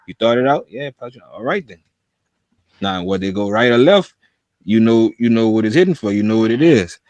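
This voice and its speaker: Podcast host dude, lowish voice, loose slangy storytelling, dry close mic. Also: male voice, animated, fairly quick, darkish tone, no room sound. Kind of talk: casual podcast interview.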